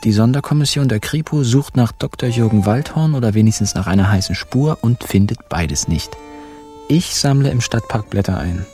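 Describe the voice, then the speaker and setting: An actor playing a wry young narrator of a radio crime comedy, in clipped, ironic diary entries. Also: male voice, mid-range voice, animated, moderate pace, mellow, lightly acted. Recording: music under narration.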